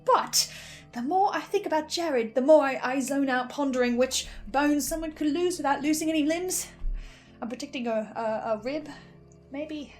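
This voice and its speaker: A woman's voice: high-pitched, normal speed. A woman giving casual, wry, sweary commentary as she recaps and reacts to a podcast. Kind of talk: casual first-person commentary with theorizing. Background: music.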